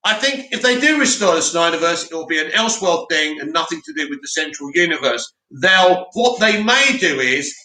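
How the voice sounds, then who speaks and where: A man hosting a live stream, reading out viewer comments and answering them in a loud, opinionated way with lots of restarts. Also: on the high side, lively intonation, normal speed, few pauses. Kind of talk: casual live chat. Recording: echoey room.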